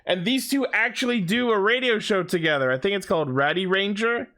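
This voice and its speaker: A man's voice: sits high, a little fast, sharp tone. A man co-hosting a podcast, talking casually and informally about a TV show with some swearing. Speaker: podcast co-host. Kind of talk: casual fan discussion of a TV show.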